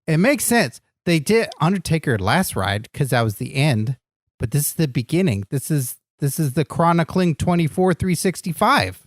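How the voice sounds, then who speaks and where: A man's voice: fairly high pitch, moderate pace, no room sound, clean studio sound. A man doing a naive, earnest wrestler sidekick. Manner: naive, earnest, deadpan absurd arguments. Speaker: podcast co-host playing a wrestler persona. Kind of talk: improvised comedy podcast chat.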